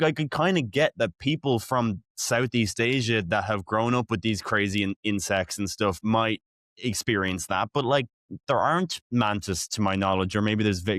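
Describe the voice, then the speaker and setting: Chatty Dublin-accented man, fairly low voice. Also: dry recording, studio quality. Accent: Irish, Dublin. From Ireland, Dublin. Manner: chatty, animated, many "like" fillers. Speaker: podcast host. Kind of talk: casual conversational interview.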